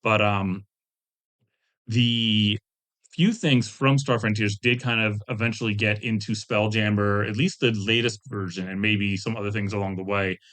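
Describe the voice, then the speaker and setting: A man's voice: on the low side, steady tone, normal speed, a bit bright. A middle-aged or older man speaking as a podcast guest, chatting casually and discursively about his hobby, with asides and hedged recollections. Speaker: podcast guest, veteran game designer. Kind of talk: casual interview conversation about tabletop gaming.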